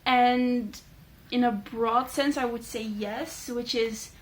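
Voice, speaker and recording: A young Dutch woman, a native Dutch speaker, chatting casually in a vlog with many fillers and thoughtful pauses. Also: on the high side, fairly even intonation. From Netherlands. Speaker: book channel host. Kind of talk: casual talking-head vlog.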